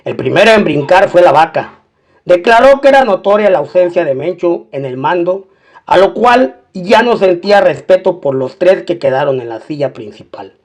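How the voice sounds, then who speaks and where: Man, high voice, scripted crime narration, storytelling, colloquial slang. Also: expressive, moderate pace.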